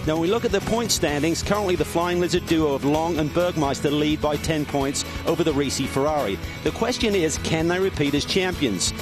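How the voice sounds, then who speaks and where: A slightly high-voiced man reads a race preview packed with figures, pausing to pose questions about the outcome. Music plays clearly behind him. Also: poor audio. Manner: poses questions, stats-heavy delivery. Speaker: pit reporter.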